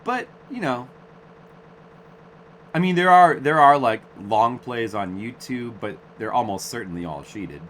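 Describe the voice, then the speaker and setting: A man's voice: medium pitch, animated, a little slow, a bit bright, a little hiss or hum. A man in his forties, a game streamer, chatting casually and ramblingly to his viewers at home, with self-mocking humor. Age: 40s.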